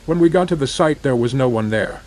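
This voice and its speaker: Man acting as plain-spoken drilling contractor, mid-pitched. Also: expressive, fairly quick, a little mellow, lightly acted. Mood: puzzled, uneasy. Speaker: drilling contractor giving testimony. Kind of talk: matter-of-fact first-person horror testimony.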